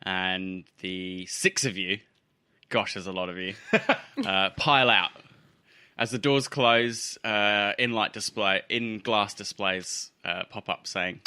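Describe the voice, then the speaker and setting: A man's voice: on the low side, steady tone, takes it slowly, sharp tone, clean tone. A man narrating a tabletop roleplaying game as game master, improvising in a casual, conversational way.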